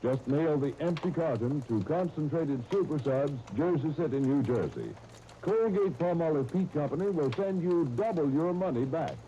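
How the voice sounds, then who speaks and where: A man's voice: a little high, expressive, normal speed. A man working as a radio announcer, reading scripted copy in 1930s style. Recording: muffled, hissy 1930s radio disc.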